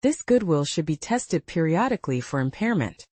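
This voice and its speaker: Presenter reading a lesson, lively intonation. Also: medium pitch, moderate pace, no room sound. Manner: formal, reading from a script. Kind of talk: scripted educational narration.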